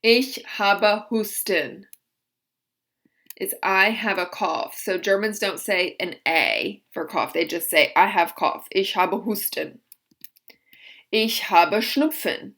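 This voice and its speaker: A woman teaching a language lesson, slowly modeling each phrase and then explaining it. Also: medium pitch, a bit bright. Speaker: German teacher. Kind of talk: recorded vocabulary lesson.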